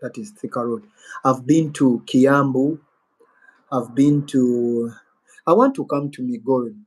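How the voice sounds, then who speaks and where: A man's voice: mid-range voice, measured pace, husky, rough recording. A man with a Ugandan accent hosting a casual live-stream Q&A, rambling and musing aloud as he reads out viewer comments. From Western Uganda.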